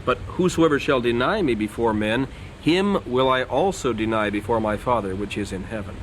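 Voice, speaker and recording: A man acting in a radio drama as an earnest, quick-witted young missionary, courteous, persuasive and a little preacherly. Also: medium pitch, slight background noise, close to an everyday voice, poor audio.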